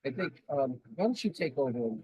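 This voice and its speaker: A man's voice: medium pitch, sing-song, normal speed, darkish tone, husky, dry recording, low-quality recording. A man in the audience at a club meeting, adding brief, practical technical remarks.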